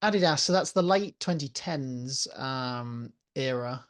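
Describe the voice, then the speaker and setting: A man with an English accent co-hosting a casual football podcast, speaking in a relaxed, conversational way. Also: medium pitch, a little slow. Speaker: podcast co-host.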